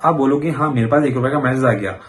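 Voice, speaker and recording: A man's voice: medium pitch, quick pace, fairly bright tone, a little hiss or hum. A man hosting an informal explainer for viewers, talking conversationally and mixing in English words. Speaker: host of a banking awareness video channel.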